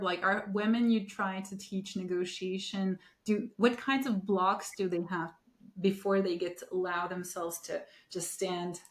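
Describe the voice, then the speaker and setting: Woman hosting, mid pitch, lots of ums and rephrasing, roomy echo. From Turkey. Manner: earnest, many fillers and rephrasings. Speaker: podcast host. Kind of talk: conversational interview.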